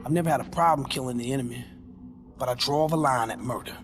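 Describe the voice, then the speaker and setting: An actor playing a wry, principled former soldier with mutant powers in a video game, speaking conversationally. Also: male voice, medium pitch, quick pace, close to an everyday voice. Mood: grave. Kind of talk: video game cutscene acting. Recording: game music behind the voice.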